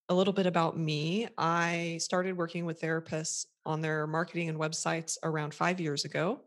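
Woman, low voice, podcast guest, earnest, reflective, conversational. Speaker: podcast guest, marketing coach for therapists. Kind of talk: conversational interview.

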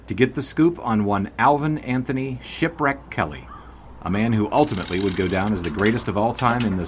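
An actor playing a wry 1920s sportswriter, narrating an audio drama in a wordy, grandiose storytelling manner. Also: male voice, fairly low pitch, a little hiss or hum, natural voice. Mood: wry, reminiscing.

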